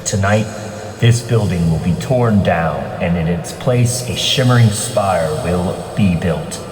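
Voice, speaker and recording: A man voicing a wealthy, idealistic civic leader and vigilante in a comic dub, composed, measured and stately. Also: low-pitched, a crowd in the background. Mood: solemn, idealistic. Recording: echoey hall, distant from mic.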